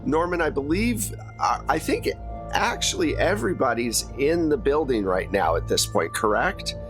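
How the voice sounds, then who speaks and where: A man's voice: medium pitch, very animated, moderate pace, fairly bright tone, faint music behind. A man running a tabletop game as game master, recapping and narrating in an informal, explanatory way.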